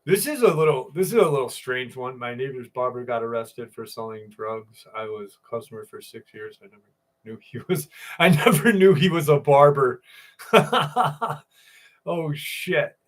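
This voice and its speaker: A man's voice: mid-range voice, normal speed. A man hosting a live stream, telling stories in a loose, profane way and greeting viewers by name. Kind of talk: casual live stream chat and storytelling.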